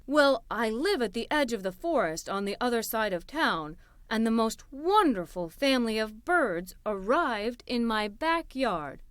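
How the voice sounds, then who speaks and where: Friendly bearded nature lover, storybook character, played by a woman: lowered voice, kindly, dry sound. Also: expressive, measured pace, a bit bright, clean tone, no room sound. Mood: gentle, friendly.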